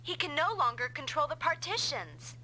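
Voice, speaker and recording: Very high, girlish young co-pilot. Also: very animated, normal speed, bright, forceful tone, heavily acted, rough recording. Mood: attentive. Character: sweet, girlish, slightly clumsy young female co-pilot. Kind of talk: dubbed anime-style video game acting. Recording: vintage console game audio with hum.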